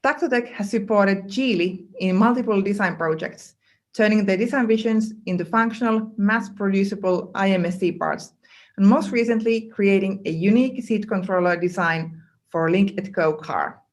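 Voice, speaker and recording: Woman reading a host intro, smooth and welcoming, ordinary mid voice. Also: mid-range voice, normal speed. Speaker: event host. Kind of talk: scripted presentation introduction.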